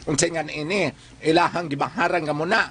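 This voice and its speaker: A man's voice: a little high, brisk, fairly bright tone, slight background noise. A man with a Cebuano accent hosting a casual advice talk, colloquial and fond of rhetorical questions. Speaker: advice show host.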